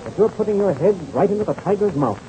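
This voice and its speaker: An actor playing an earnest, excitable American adventurer in a 1930s radio serial, on a noisy old recording. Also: male voice, sits high, quick pace. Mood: urgent, courteous.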